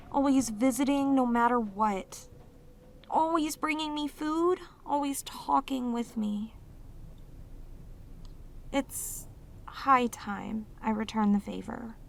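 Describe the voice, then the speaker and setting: A woman playing a sweet, clingy demoness in a romantic audio roleplay, speaking tenderly and haltingly with intense devotion. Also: on the high side, a little mellow, slight background noise. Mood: soothing, devoted. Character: sweet, devoted, clingy yandere demoness, long sealed away.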